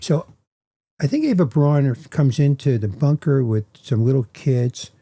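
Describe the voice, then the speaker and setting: A man's voice: medium pitch, lively intonation, unhurried, warm tone, no echo. A man hosting a podcast who chats casually and keeps asking his guest questions. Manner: curious, asks many questions. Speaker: podcast host.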